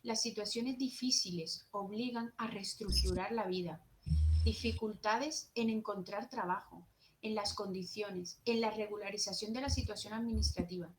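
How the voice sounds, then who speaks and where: A woman's voice: mid-range voice, a little mellow, slight background noise, poor audio. A young woman with a Jerez Andalusian accent giving an earnest, explanatory conference talk at a social work seminar, partly read from notes. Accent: Andalusian Spanish, from Jerez.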